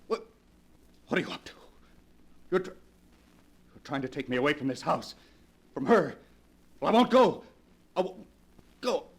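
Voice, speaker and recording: An actor playing a bewitched, unstable husband with halting, intense, theatrical 1940s radio delivery. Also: fairly high pitch, big pitch swings, vocal fry, slightly rough, heavily acted. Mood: furious, defiant. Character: bewitched, suspicious, unhinged husband possessed by spirit. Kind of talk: 1940s melodramatic radio acting. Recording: vintage 1940s radio recording.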